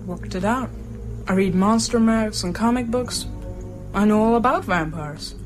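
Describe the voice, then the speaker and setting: A man's voice: sits high, measured pace, soft background music. A male actor in a radio drama playing an earnest boy who is keen on monsters. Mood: matter-of-fact.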